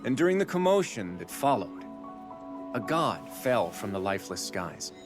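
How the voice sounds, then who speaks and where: A confident warrior of a bird people in a video game, speaks low with lively inflection. He is wry and steadfast, teasing yet assured, over a musical score. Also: normal speed, over music. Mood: earnest.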